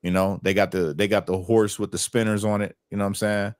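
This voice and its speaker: A man's voice: on the low side, little pitch movement, fast talker, dry recording. An American man chatting casually on a political livestream in colloquial, streetwise slang. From United States. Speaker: livestream host.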